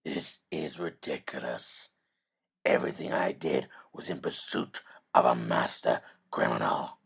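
A man voicing a brooding masked male vigilante, speaking in a low, gruff, whispered snarl. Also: a little slow, full character voice. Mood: indignant.

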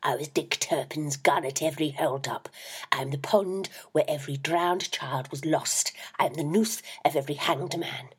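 Woman voices a deep, husky old demon-clergyman for a storybook, booming, then sinking to a loud hissing whisper. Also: moderate pace. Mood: menacing hiss. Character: ancient evil forest spirit posing as booming old male clergyman.

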